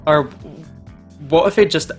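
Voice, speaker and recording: A man co-hosting a gaming video, chatting casually in a jokey, excitable, sweary way. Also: a little high, unhurried. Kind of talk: casual chat, reacting to a game. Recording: music playing behind the voice. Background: video game music.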